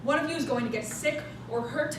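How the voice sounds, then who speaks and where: A woman's voice: high voice, brisk, natural voice. A woman playing a cold, obsessive scientist, terse and insistent, in a staged play reading. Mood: defiant, insistent. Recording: echoey, noisy stage recorded from far off.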